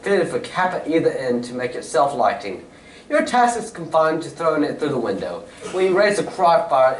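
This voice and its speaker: Man acting a lively, high-pitched gentleman detective. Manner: precise, formal, theatrical. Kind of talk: old-time radio drama acting on stage. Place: community playhouse stage. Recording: roomy, distant stage recording, faint noise.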